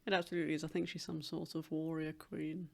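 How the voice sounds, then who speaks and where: A woman's voice: low-pitched, flat delivery, fast talker, gravelly, a little hiss or hum. A woman co-hosting a podcast in casual chat with others in the same room. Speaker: podcast co-host. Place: indoors, sharing a room with co-hosts.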